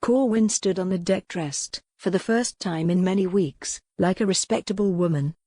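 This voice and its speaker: A woman's voice: fairly low pitch, moderate pace, dark tone, no room sound, clean studio sound. A woman reading scripted narration as the narrator of a romance audiobook.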